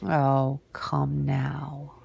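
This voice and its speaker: Woman acting a breathy, very slow, menacing vampire boss. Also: extremely low pitch, monotone, warm tone, lo-fi sound, exaggerated voice. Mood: mock-sweet menace. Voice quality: breathy, husky, often sinking into a whisper. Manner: slow, deliberate, menacing drawl with long pauses. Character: menacing female vampire crime boss, cold and sardonic. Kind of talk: ASMR roleplay.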